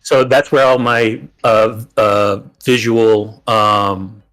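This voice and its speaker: A man, a game master, explaining his setup in a casual chat, halting with many fillers and restarts. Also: fairly low pitch, flat delivery, a bit bright, rough recording. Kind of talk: casual chat about tabletop games.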